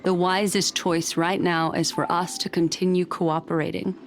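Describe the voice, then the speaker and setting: Mysterious, gracious lady acted by a woman, very low and even in pitch, formal and soft-spoken, game dialogue. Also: normal speed, a little hiss or hum, close to an everyday voice. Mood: grave, courteous. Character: composed, mysterious, courteous woman with measured grace. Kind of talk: video game voice acting.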